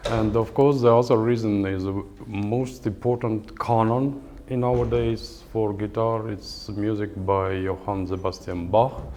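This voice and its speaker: A man in his sixties with an Estonian accent, giving a lecture as a guitar professor in hesitant English with many fillers and pauses. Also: fairly low pitch, steady tone, warm tone, slight background noise. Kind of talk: lecture with halting non-native English. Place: lecture hall at a music academy.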